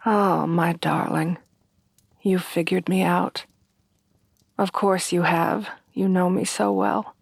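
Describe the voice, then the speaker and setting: A woman playing a refined, tender headless horsewoman bride in a soft-spoken ASMR roleplay, with formal, genteel phrasing. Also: fairly low pitch, fairly even intonation, extremely slow, pauses often, no echo, exaggerated voice. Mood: confiding. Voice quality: soft, breathy at times.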